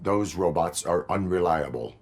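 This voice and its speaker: An actor playing a stoic male cyborg police officer in a video game, speaking in a flat, terse, authoritative way. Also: deep voice, unhurried, heavily acted. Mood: stern.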